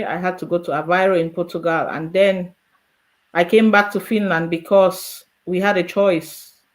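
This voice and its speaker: Cameroonian-accented woman telling her story. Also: on the low side. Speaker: guest, immigrant in politics. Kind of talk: conversational interview answers, storytelling.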